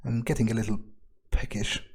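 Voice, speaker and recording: A man's voice: on the low side, steady tone, fairly quick, creaky, a little mellow, husky. A man playing a video game in character, muttering his thoughts aloud in a sardonic, foul-mouthed way.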